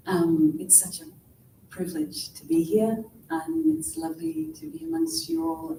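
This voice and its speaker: Mellow woman in an echoey hall. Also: creaky. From Australia. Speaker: panel speaker. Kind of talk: conference panel talk. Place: large conference hall. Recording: echoey hall, distant mic, faint rumble.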